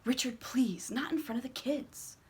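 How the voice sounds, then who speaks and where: Woman voicing a soft-spoken mother, quiet. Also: fairly high pitch, moderate pace, slightly rough, pitched above the performer's own voice. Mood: soothing. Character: gentle, tired mother calming her children.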